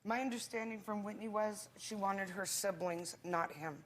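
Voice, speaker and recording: A woman's voice: medium pitch, normal speed. Female talk show guest, bitter and blunt, faint room hum behind her.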